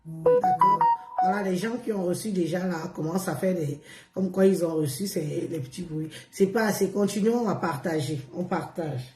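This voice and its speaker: A hoarse woman chatting on a live stream, repeating herself and coaxing viewers along with drawn-out words. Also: lowish voice, echoey room, over music. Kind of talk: casual live stream chat.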